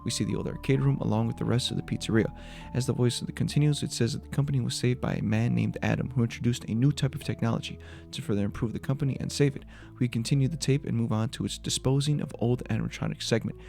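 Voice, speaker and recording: A man's voice: mid-range voice, fairly even intonation, fast talker, gravelly, mellow, soft background music. A man giving a scripted breakdown of a horror video as its creator, in voice-over narration.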